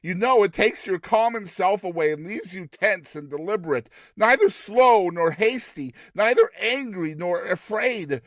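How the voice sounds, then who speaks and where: Man narrating with very animated pitch. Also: no room sound, studio quality. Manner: theatrical, expressive, deliberate delivery. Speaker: narrator, reader. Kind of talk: dramatized storybook narration.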